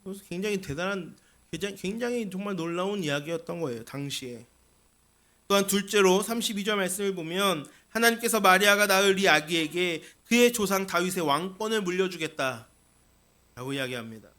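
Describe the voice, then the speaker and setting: A male pastor delivers a Sunday sermon in a church sanctuary, his voice sitting high. He talks earnestly and directly to his listeners, often turning to rhetorical questions. The tone stays bright and forward throughout. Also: expressive, fairly quick. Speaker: senior pastor.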